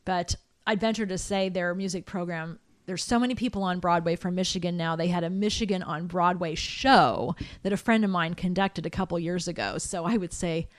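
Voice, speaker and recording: Picture a middle-aged American woman recalling her years of music training in a relaxed chat. Her speaking voice sits a little low for a woman, and it comes across dry and close. She talks in a reflective way, with many restarts and fillers. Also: a little fast, few pauses, no room sound. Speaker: interview guest, soprano singer. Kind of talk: relaxed conversational interview.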